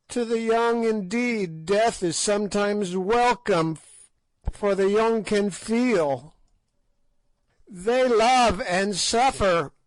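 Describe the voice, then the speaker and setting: A man doing a shrill, cackling ancient witch. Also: no echo, low-quality recording. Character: ancient shrieking witch woman, cunning and spiteful.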